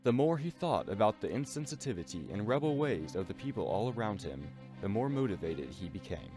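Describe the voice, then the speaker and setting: A man's voice: lowish voice, very animated, fairly quick, over music. A man playing a storybook narrator, telling a dramatic tale in scripted narration. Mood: measured, suspenseful.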